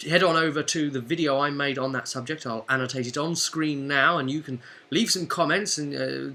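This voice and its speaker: A man hosting and recapping a role-play game as an informal, expressive storyteller and game master. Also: medium pitch. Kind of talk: animated storytelling recap to viewers.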